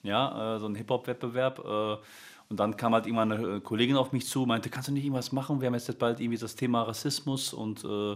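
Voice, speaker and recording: A man's voice: mid-range voice, fairly even intonation, quick pace. A man, a music teacher, chatting casually as an interview guest, rambling with many fillers and restarts.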